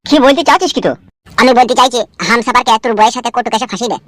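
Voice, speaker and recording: A man's voice: very high voice, very fast, fairly bright tone, dry recording, studio quality. A man voicing a scheming, coarse-talking, nervous village crook in a comedy cartoon. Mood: conspiratorial. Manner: coarse slangy back-and-forth.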